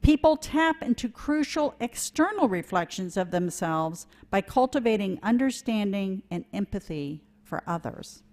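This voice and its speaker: A woman giving a sermon in a church, earnest and measured, with a touch of self-deprecating humor. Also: mid-range voice, lively intonation, darkish tone. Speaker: lay preacher. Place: church sanctuary.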